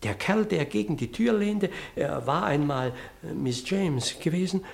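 Fussy, flustered English parson. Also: male voice, a little high, expressive, gravelly. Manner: fussy, dramatic, halting when agitated. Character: flustered, pedantic English parson recounting his abduction. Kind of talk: German radio play storytelling.

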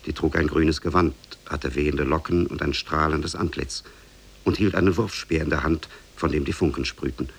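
An actor as the grave storyteller of an Arabian tale, narrating formally and evenly for a 1950s radio drama. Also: male voice, extremely low pitch, steady tone, gravelly, husky, heavily acted. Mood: grave, measured. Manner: measured, formal.

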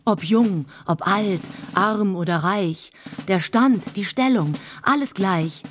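A woman's voice: mid-range voice, takes it slowly, faint music behind. A woman reciting rhymed verse like a herald in a radio play, with declamatory, rhythmic delivery.

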